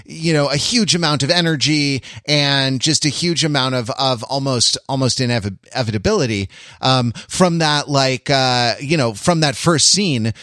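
A man talking casually about film as a podcast panelist, in a halting, rambling, analytical way. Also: mid-range voice, bright, forceful tone, dry recording, studio quality.

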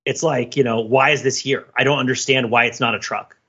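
A man co-hosting a podcast in casual, joking, animated chat, telling anecdotes over slightly lo-fi audio. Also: medium pitch, brisk, slightly rough. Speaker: podcast co-host.

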